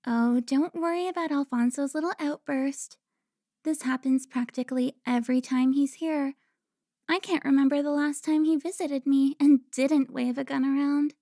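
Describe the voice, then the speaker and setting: A woman playing a seductive, haughty vampire informant in an audio drama, teasing with drawn-out, theatrical delivery. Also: sits high, steady tone, dark tone, no echo, studio quality. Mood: playful, gloating. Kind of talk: cinematic audio roleplay acting.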